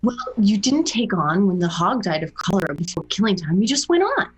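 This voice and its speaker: Woman acting a husky, stubborn farm wife. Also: medium pitch, very animated, brisk. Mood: stubborn, scolding. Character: stubborn, practical farm wife who dismisses worry. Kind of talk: radio play acting.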